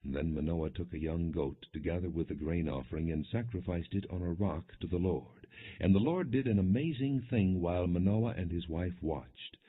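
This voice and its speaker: A man narrating scripture as a scripted audiobook, with a measured, expressive delivery on slightly muffled audio. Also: low-pitched, slightly rough.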